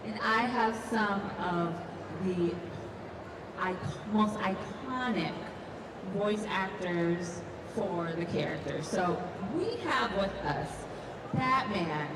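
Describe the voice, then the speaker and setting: A woman moderating a convention panel with an enthusiastic, excited manner. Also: mid-range voice, takes it slowly. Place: large indoor convention hall stage. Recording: echoey hall, crowd and noise, distant.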